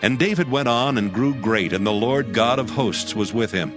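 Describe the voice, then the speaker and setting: A man narrating a dramatized scripture audiobook in a solemn, measured storytelling manner. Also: mid-range voice, animated, over music.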